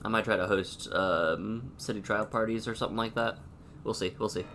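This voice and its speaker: A man with a stuffy, sniffly nose and a sore throat, streaming a game and chatting casually while thinking aloud with pauses. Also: on the low side, steady tone, a bit bright, soft background music. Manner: laid-back, thinking aloud with pauses and hums. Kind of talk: casual gameplay commentary.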